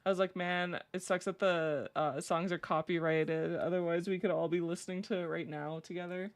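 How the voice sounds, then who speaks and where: A young woman with a low voice chatting casually and rambling with lots of fillers while she draws on a live stream. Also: fairly even intonation, moderate pace. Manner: rambling, filler-heavy, laughs easily. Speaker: streamer drawing fanart.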